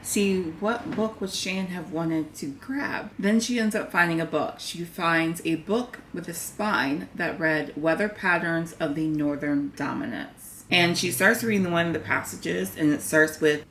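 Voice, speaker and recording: A woman in her thirties with a croaky morning voice, chatting about a fantasy novel she is reading and reading passages aloud, filmed by webcam in a bedroom. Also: lowish voice, measured pace, hardly any pauses, a bit bright, a little hiss or hum. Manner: chatty, thinks aloud, reads excerpts between remarks. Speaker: book vlogger. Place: bedroom in front of bookshelves. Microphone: webcam at desk distance.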